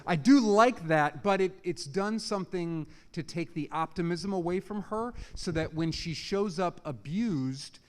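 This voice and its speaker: A man with a somewhat high voice offers informal feedback as an audience member. He feels his way through his points, rephrasing them and checking that people follow. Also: measured pace, hardly any pauses. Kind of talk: informal critique of a play.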